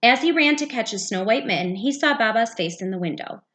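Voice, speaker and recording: A woman's voice: on the high side, animated, a little fast, fairly bright tone. A woman, a teacher, reading a picture book aloud for young children. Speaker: second-grade teacher.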